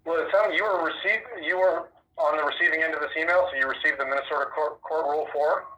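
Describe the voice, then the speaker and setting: Male official, American, bright forceful tone over a phone line, measured and firm, formally fielding press questions. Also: fairly high pitch, fairly even intonation, a little hiss or hum. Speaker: sheriff's office representative. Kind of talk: formal answers to a press query.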